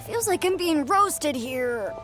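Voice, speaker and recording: An eager, slightly whiny young woman in a game. Her voice is very high and light. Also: lively intonation, slow, over music. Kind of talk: game dialogue.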